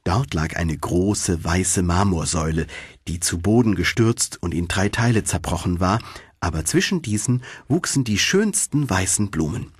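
Deep, husky male fairy-tale narrator. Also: moderate pace, no echo, studio quality. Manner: expressive storytelling. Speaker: audiobook narrator.

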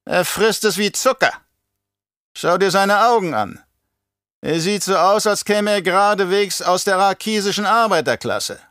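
A man's voice: high-pitched, lively intonation, normal speed, a bit bright, clean tone, no room sound, studio quality, pitched above the performer's own voice. A man playing a scheming, imperious older baron in an audiobook, with a mocking, condescending, theatrical delivery. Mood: sneering. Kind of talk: audiobook character acting.

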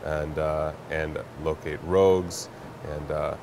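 Low-voiced, matter-of-fact man explaining. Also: level tone, slow. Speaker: network product company representative, guest. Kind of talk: explanatory product demo in an interview. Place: large indoor warehouse staging space. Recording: clear background noise of a warehouse.

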